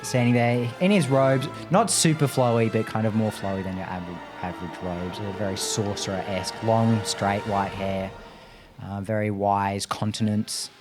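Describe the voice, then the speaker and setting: A man's voice: on the low side, steady tone, moderate pace. Man playing tabletop games over music. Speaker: tabletop game player. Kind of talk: casual, improvised character description. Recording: clear music behind. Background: background music.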